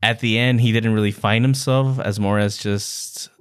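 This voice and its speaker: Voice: medium pitch, steady tone, normal speed, dry recording, studio quality. A podcast co-host talking casually and playfully in an informal book discussion.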